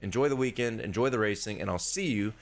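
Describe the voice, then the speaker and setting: A hoarse man, a young adult, hosting a casual sports-betting preview and swearing freely in a loose, rambling way. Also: fairly low pitch, quick pace. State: hoarse, losing his voice. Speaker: sports betting podcast host.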